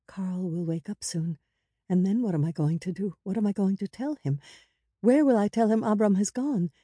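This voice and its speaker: Narrator as an anxious, breathy, pleading woman. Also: mid-range voice, normal speed, dark tone, dry recording, clean studio sound, voice pushed up, natural voice. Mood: anxious, desperate.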